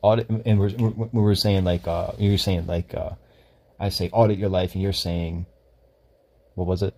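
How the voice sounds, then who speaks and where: Deep husky man chatting loosely. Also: steady tone, normal speed, a little mellow. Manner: rambling, self-correcting, laughs often. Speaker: podcast co-host. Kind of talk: casual faith chat.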